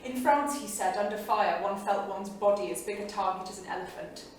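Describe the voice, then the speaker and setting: A woman's voice: mid-range voice, a little fast, natural voice. Bold, restless period wife, played by a woman, lyrical theatrical monologue; distant mic, strong room echo. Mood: tender, wistful. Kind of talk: staged reading, dramatic monologue. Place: small theatre studio. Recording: echoey theatre, distant mic, faint noise.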